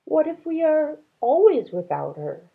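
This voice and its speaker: A grieving storybook mother voiced by a woman reader, plaintive and anguished, in a raised pitch. The sound is thin and tinny. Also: very animated, a little slow, creaky, full character voice. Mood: sorrowful, plaintive. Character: grieving mother, sorrowful wife missing her child.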